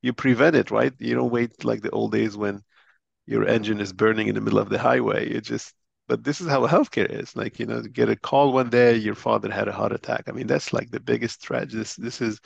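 A man's voice: medium pitch, moderate pace, gravelly, husky, dry recording, muffled recording. A middle-aged man from Lebanon, a doctor and company head, explaining his views earnestly in a conversational interview with many restarts. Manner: earnest, animated explainer with frequent restarts and laughs. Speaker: interview guest, physician and company chief.

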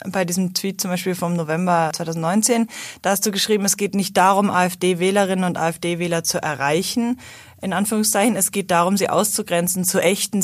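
A woman's voice: lowish voice, dry recording, studio quality. A woman interviewer who asks long, winding questions and reads quotes aloud to her guest.

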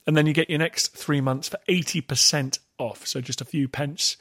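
A man's voice: on the high side, fairly even intonation, normal speed, fairly bright tone, dry recording, clean studio sound. A man hosting a history podcast, introducing a guest in a chatty way with jokey asides. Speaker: podcast host.

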